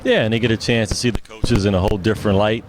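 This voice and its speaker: A man's voice: fairly low pitch, steady tone, fast talker, noisy background. A man, a basketball head coach, giving casual answers in a sports interview.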